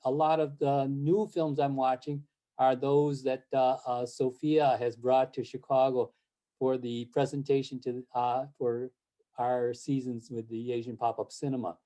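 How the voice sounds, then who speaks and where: An older man born in Japan and raised in the United States, speaking, answers interview questions over a video call in a halting way, with many fillers and restarts. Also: medium pitch, little pitch movement, warm tone. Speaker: interview guest, film series board president.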